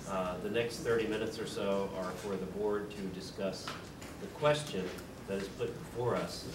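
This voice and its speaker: Low guy running a school board meeting, lots of uhs, echoey room, far off mic, noisy. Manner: measured, with frequent uh fillers and restarts. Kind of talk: formal meeting, explaining procedure.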